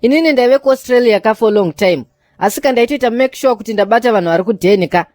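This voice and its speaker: An actor voicing a cocky young man in an animated comedy, smug and chiding as they banter in slang. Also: mid-range voice, fast talker, no echo, lightly acted.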